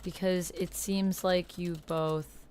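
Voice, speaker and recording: A woman's voice: fairly low pitch, little pitch movement, slow pace, a little mellow, slight background noise. A woman hosting a livestream, chatting informally, thinking aloud and reading on-screen text. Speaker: livestream host and player.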